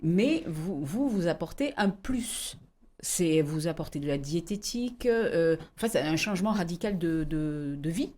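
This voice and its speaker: Low, mellow-voiced woman co-host. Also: expressive, a little slow. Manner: searching, restarts mid-sentence. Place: radio studio.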